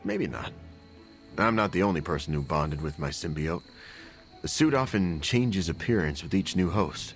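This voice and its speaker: A guarded antihero bonded to a symbiote, talks low and gravelly in a video game. The delivery is casual and wry, with self-deprecating candor. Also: male voice, lively intonation, moderate pace, soft background music. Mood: candid, guarded. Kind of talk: conversational video game acting.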